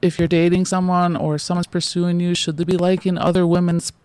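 A woman's voice: lowish voice, flat delivery, brisk, mellow, clean tone, dry recording. A woman in her forties, a guest in a casual interview, talking in loose, rambling sentences full of fillers and restarts and often laughing between thoughts.

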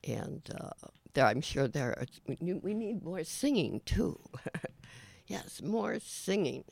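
An older man hosting his own show, talking casually in a halting way with many fillers, his voice raspy today. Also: fairly high pitch, big pitch swings, dry recording. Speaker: show host. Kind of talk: casual rambling monologue.

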